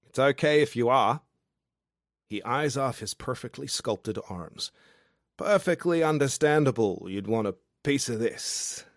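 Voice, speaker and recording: A man's voice: mid-range voice, lively intonation, a little slow, dry recording, clean studio sound. A man voicing a cocky, tipsy young man from the outback, speaking in a brash, teasing, sing-song way.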